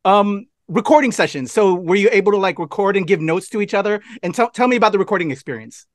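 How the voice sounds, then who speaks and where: A man, hosting a casual interview in an expressive way. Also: high voice, quick pace, no echo. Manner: expressive, gesturing. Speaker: interviewer, host. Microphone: video call.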